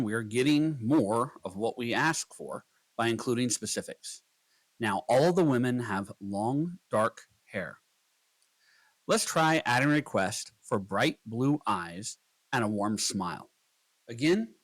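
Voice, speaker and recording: A man narrating a scripted software tutorial, explaining each step in turn as a voice-over. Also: medium pitch.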